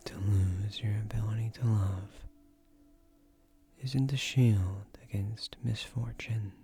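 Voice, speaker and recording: Casting: male actor as ancient tree guardian for fantasy romance audio. Mellow, very unhurried delivery, soft and breathy, courtly and persuasive. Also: fairly low pitch, steady tone, exaggerated voice. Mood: earnest, gently admonishing. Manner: formal, unhurried, earnest wording with soft hesitations.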